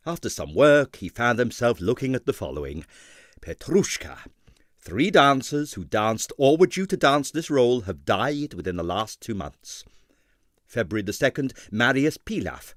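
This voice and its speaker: A man narrating a comic detective novel as an audiobook reader, in a measured, dramatic storytelling delivery with emphatic phrasing. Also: medium pitch, no echo, clean studio sound.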